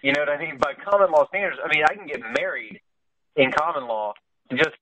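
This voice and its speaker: A man's voice: a little high, lively intonation, a little fast, crowd noise, poor audio. A man in an informal political discussion on a conference call, explaining his points and often checking that listeners follow. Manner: explanatory, asks check-in questions like "you know?".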